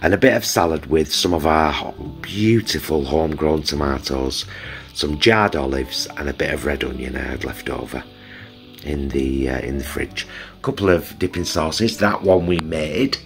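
Really deep northern english guy chatting about food on a vlog, homely, bit of music behind. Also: normal speed. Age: middle-aged. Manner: affectionate, homely, addresses viewers as loves. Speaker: vlog host.

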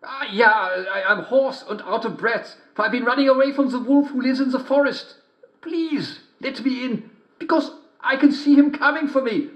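A man voicing a sly wolf in a squeaky, put-on voice, hesitant and fawning as he talks. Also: normal speed. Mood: flustered. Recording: dull, roomy sound.

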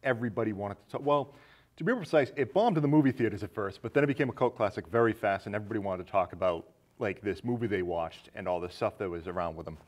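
American man, thirties, slightly low voice, easygoing film talk show host. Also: lively intonation, fast talker. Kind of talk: casual film discussion. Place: small indoor studio set with curtains.

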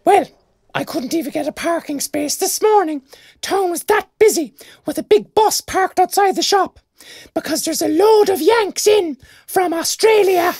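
Man mimicking a shrill, gossiping Irish shop woman. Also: unhurried, gravelly, darkish tone, no room sound, clean studio sound, full character voice. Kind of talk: mocking impression in audio drama.

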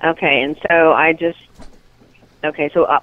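A woman's voice: deep voice, steady tone, normal speed. A woman speaks over a thin, narrow phone connection. She talks casually but hesitantly, often questioning what she is told. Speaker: phone call recipient. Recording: narrow, tinny phone line.

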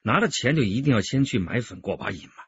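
An actor playing a shrewd, confident, wryly good-humoured police detective chief in a radio drama. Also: male voice, mid-range voice, big pitch swings, fast, fairly bright tone, husky, no echo, heavily acted. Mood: explaining. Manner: self-assured, bantering. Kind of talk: Chinese radio drama acting. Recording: dull radio-drama recording.

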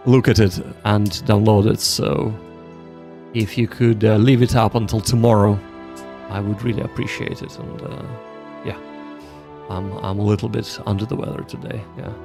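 A man hosting a livestream, chatting casually and explaining things to viewers in a halting way, often repeating himself. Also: fairly low pitch, creaky. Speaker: livestream host of a Japanese study session.

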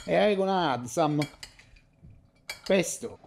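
A man's voice: on the high side, animated, slow pace, gravelly, slight background noise. A middle-aged Italian man with an Italian accent, chatting casually in non-native English as he demonstrates a recipe in his home kitchen. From Italy. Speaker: cooking show host.

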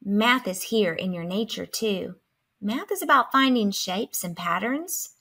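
A woman's voice: mid-range voice, animated, a little slow, sharp tone, slightly rough, full character voice. A woman voicing a curious, cheerful alien who patiently explains math in a children's storybook. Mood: encouraging. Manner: inquisitive, encouraging, explanatory.

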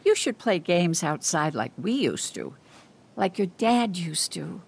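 An actress playing a kindly, concerned older woman neighbor in a video game, gentle and motherly while probing with her questions. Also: fairly low pitch, very animated, measured pace, creaky, breathy edge, full character voice.

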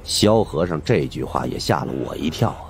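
A man's voice: very deep voice, expressive, normal speed, gravelly, a little hiss or hum. A man narrating an audiobook as a colloquial, conversational storyteller.

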